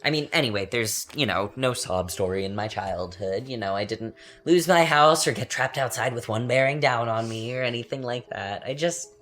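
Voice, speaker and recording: A man's voice: medium pitch, fairly bright tone, close to an everyday voice. Man acting sardonic young man over music. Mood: wry, defensive. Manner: sardonic, halting, conversational. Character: bitter, taunting young man recounting his unraveling. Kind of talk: confessional dramatic monologue. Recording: music under the voice.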